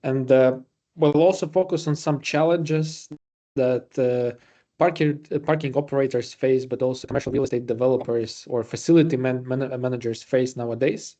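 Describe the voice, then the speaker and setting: Mellow man with a Latvian accent, hosting. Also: mid-range voice, moderate pace. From Riga, Latvia. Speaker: webinar host and presenter. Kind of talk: semi-scripted webinar presentation.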